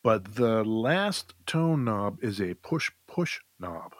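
A man's voice: lowish voice, sing-song, takes it slowly, no room sound, studio quality. A man co-hosting a casual gear chat, explaining things informally with some light joking. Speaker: podcast co-host reviewing guitar gear.

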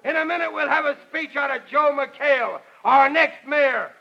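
A man's voice: very high voice, moderate pace, gravelly, sharp tone, exaggerated voice. An actor playing a scrappy, scheming middle-aged working-class American man in an old-time radio comedy, with brash, slangy speech. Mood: triumphant. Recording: muffled, hissy vintage radio recording.

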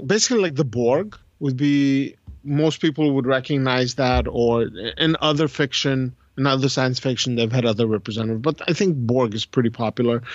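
A man's voice: medium pitch, dry recording. Guy rambling on a podcast, keeps correcting himself, kinda muffled lo-fi audio, dry. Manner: rambling, thinks aloud with many self-corrections. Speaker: podcast co-host. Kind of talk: casual podcast chat about strategy games.

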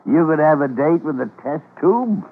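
An older actor playing a crotchety, grumbling doctor and gruff mentor in an old radio drama. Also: male voice, a little high, lively intonation, moderate pace. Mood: grumbling, needling. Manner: grumbling, needling, blunt. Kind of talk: 1940s radio acting. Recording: muffled, lo-fi old radio recording, faint noise.

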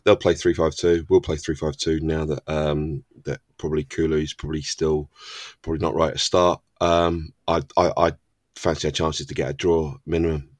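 A man with a very deep voice chats about football and teases the others with mock outrage. The sound is dry and close to the mic. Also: flat delivery, moderate pace, no room sound. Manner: laughing, mock-outraged ribbing. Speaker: podcast co-host. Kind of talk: casual chat among football fans.

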